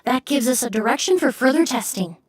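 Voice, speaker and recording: Composed, higher-voiced forensic investigator. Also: female voice, animated, husky. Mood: inquiring, composed. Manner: measured, articulate, thoughtful. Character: composed, sharp young woman forensic cleaner. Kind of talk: video game dialogue.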